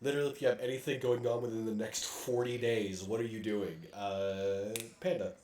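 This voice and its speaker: A man hosting and narrating a tabletop game as game master, playful and excitable, in a casual style. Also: lowish voice, fairly quick, slight background noise. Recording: echoey room.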